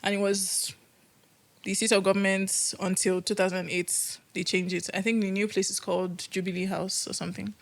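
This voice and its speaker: A woman's voice: medium pitch, level tone, moderate pace, vocal fry. A young adult woman from Nigeria hosting a podcast, telling a story from a script in casual chat and laughing often.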